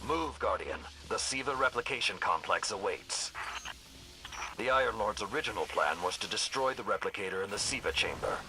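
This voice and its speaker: An actor playing a grave, haunted old warrior mentor in a video game, speaking in a solemn, measured, gravelly way. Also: male voice, lively intonation, background noise. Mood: solemn urging. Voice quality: very deep, gravelly.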